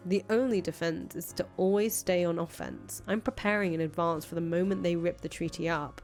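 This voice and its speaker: A woman's voice: on the low side, steady tone, fairly quick, darkish tone, voice pushed down, natural voice. A woman voicing a brash, grandiose young revolutionary leader in a visual novel, foul-mouthed, boastful and emphatic. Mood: defiant, scheming. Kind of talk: visual novel character voice reading. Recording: music playing behind the voice.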